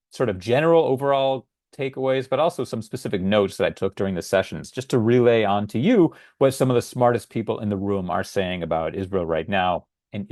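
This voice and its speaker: A man's voice: lowish voice, darkish tone, dry recording, studio quality. A middle-aged American man hosting his own podcast, talking through a solo episode in an earnest, conversational way with a few filler words. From United States. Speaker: podcast host.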